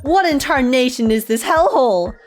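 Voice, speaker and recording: Playful, animated woman streamer. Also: high-pitched, moderate pace. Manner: playful, slangy. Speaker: game streamer. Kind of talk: casual live reaction commentary. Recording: faint game music behind.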